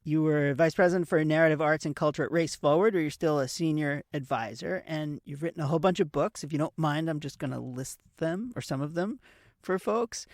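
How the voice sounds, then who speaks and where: A man hosting an interview in a casual, somewhat halting way, correcting himself as he frames his questions. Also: fairly high pitch, dry recording, studio quality. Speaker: interview host.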